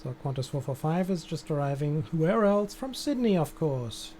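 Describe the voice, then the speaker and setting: Mellow air traffic control game streamer. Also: mid-range voice, lively intonation, moderate pace. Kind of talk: gameplay stream, issuing radio-style instructions with casual asides.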